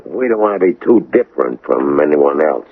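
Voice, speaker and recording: An actor playing a domineering American smuggler in a 1980s radio drama, with a gravelly, husky voice and curt, slangy, bossy delivery. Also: male voice, rumbling low, sing-song, fairly quick, exaggerated voice. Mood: confident, dismissive. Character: tough, domineering male smuggler on the run. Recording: narrow tinny old radio recording.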